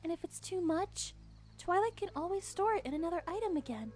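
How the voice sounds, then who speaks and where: A woman voicing a gentle, emotional young female pony in a soft, sweet voice, tender and hesitant, in cartoon drama style. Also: extremely high pitch, animated, a little fast. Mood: caring. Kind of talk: animated fan audio drama acting.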